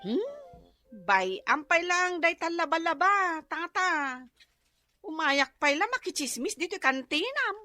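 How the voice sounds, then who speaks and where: A woman's voice: very high-pitched, sing-song, measured pace, sharp tone, no room sound, studio quality, soft background music, full character voice. A woman with an Ilocano accent voicing a nosy, gossipy neighbour in a comedy radio drama. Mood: amused, gossipy. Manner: gossipy, exclamatory.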